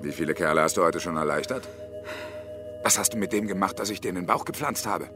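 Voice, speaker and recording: Actor as bitter, brooding middle-aged engineer, music behind. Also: male voice, low voice, fast, close to an everyday voice. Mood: bitter, taunting. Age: 50s. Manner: brooding, insistent, sardonic. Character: bitter, obstinate unemployed male engineer around fifty. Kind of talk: German radio drama acting.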